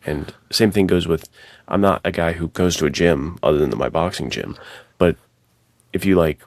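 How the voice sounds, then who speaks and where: Very deep, gravelly man chatting casually. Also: moderate pace, a little mellow, no room sound. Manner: slangy, enthusiastic, illustrates points with mimicked sounds and quotes. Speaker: podcast co-host and adventure fiction writer. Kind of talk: casual conversational podcast chat.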